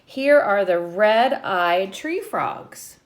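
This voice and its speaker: A woman reading a children's picture book aloud like a teacher, with expressive delivery and short explanatory asides to young listeners. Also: medium pitch, extremely slow.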